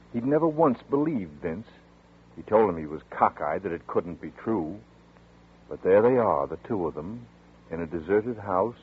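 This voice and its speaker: A man giving measured, dramatic scripted narration for a 1940s radio drama. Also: fairly low pitch, husky. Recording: tinny lo-fi 1940s radio broadcast.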